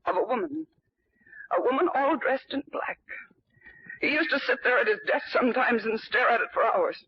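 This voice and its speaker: An actress playing an emotional, defensive, jealous wife in a 1940s radio melodrama, with a faltering manner. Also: female voice, sits high, dry recording, close to an everyday voice. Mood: bitter, tearful. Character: distraught, jealous, defensive wife under suspicion. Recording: dull vintage radio recording.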